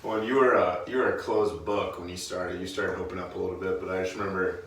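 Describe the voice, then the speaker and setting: Low, level male host, very echoey. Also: flat delivery, quick pace, a bit bright. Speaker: podcast host, gym coach. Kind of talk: casual interview. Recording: echoey room, distant mic, faint noise.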